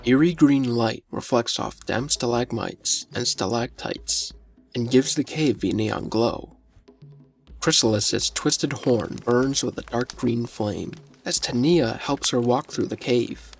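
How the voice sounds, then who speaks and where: Male story narrator over clear music. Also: medium pitch, slow pace.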